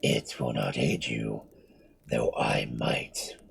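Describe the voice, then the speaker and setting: A man voicing an ancient, sinister giant mummy, speaking slowly and low with a breathy edge. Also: a little hiss or hum, heavily acted. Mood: menacing. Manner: slow, low and menacing, sinking to a whisper. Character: ancient gigantic mummy, male, sinister and mocking.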